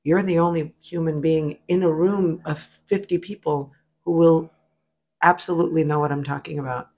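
A middle-aged man co-hosting a podcast, chatting in an animated, gushing, campy way. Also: a little high, moderate pace. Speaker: podcast co-host. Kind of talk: casual chat.

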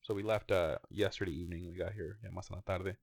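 A man's voice: low-pitched, steady tone, fast talker, creaky, darkish tone, dry recording, studio quality. A man in his thirties co-hosting a casual podcast, rambling in an easygoing way and swearing now and then. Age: 30s. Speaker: podcast co-host. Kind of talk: casual chat.

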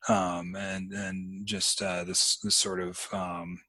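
A man hosting a casual book discussion, talking in a halting, thoughtful way and often trailing off. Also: low-pitched, level tone, bright, forceful tone.

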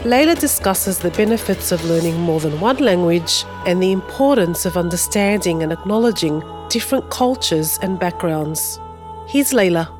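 A woman's voice: fairly low pitch, expressive, normal speed, music in the background. A woman hosting a podcast interview, asking friendly, encouraging questions. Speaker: podcast host.

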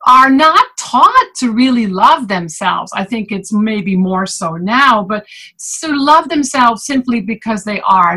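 A woman's voice: on the high side, bright, forceful tone, poor audio. Reflective Dutch-born woman in her sixties. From Netherlands. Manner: reflective, thoughtful. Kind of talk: casual interview talk.

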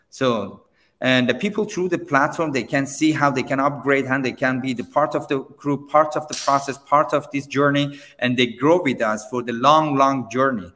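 A man whose first language is Persian, a seminar trainer answering interview questions in halting English with many restarts. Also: mid-range voice, long reverb tail. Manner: halting, many restarts, non-native grammar, animated when pressed. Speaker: seminar trainer and company founder.

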